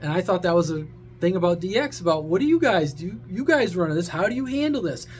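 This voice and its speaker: High-pitched blunt man ranting. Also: very animated, a little fast, faint music behind. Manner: blunt, sarcastic, crude, exasperated. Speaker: social media creator and CB radio hobbyist. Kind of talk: casual opinionated rant to viewers.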